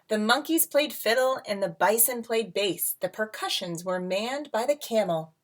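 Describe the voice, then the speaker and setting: A woman reading a picture book aloud to children as a teacher, with dramatic emphasis, drawn-out words and casual asides. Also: mid-range voice, expressive. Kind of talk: expressive storybook read-aloud with casual asides. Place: at home.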